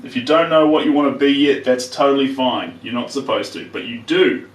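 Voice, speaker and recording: A man's voice: mid-range voice, fairly quick. A man, chatting casually and earnestly while painting on a livestream, giving plain-spoken motivational advice. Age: 30s. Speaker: painter streaming live. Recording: roomy, distant mic.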